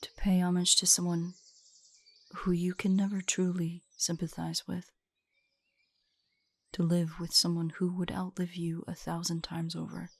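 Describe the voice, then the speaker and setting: A woman playing an ancient, guarded female drider in an intimate audio roleplay, speaking in a formal, measured, haughty way with a husky, breathy voice. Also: lowish voice, little pitch movement, no room sound. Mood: grave, cautioning.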